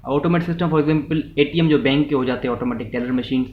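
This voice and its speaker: A man's voice: medium pitch, fast talker. A man with a Pakistani accent giving an explanatory law lecture, mixing in English terms and restating each point in a didactic way. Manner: didactic, repeats and rephrases points, checks in with listeners. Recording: muffled, roomy echo, background noise.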